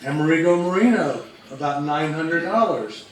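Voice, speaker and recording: A man co-presenting a measured, informational talk. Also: on the high side, expressive. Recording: very echoey, distant mic, faint noise.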